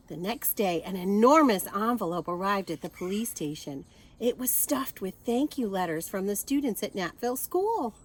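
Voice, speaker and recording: A woman reading a picture book aloud to children in an expressive manner, adding asides and questions for her young listeners. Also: on the high side.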